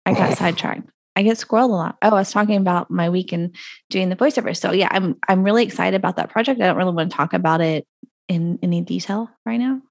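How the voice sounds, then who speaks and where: A woman's voice: fairly low pitch, fast talker, vocal fry, a little mellow, no room sound. Rambling, chatty woman podcast host. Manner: rambling, laughs often, trails off. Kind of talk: casual chat. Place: home recording closet over a video call. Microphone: video call audio.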